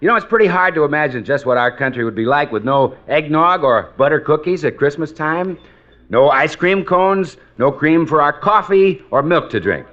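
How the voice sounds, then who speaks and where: A man's voice: a little high, animated, normal speed, a bit bright. A man reading a scripted sponsor announcement as an announcer on a 1950s radio show. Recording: dull, slightly noisy old radio recording.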